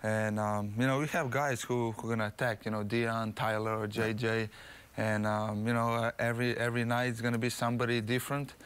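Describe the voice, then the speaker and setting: A man in his thirties with a Slovene accent, a basketball player answering postgame questions modestly with many pauses and fillers. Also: medium pitch, level tone, a bit bright, clean tone. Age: 30s. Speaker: pro basketball player, guest. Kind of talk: postgame interview. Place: TV studio set.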